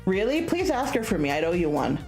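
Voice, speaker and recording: Woman as a hesitant, embarrassed young male student, lively pitch. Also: brisk, soft background music. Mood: awkward, hesitant.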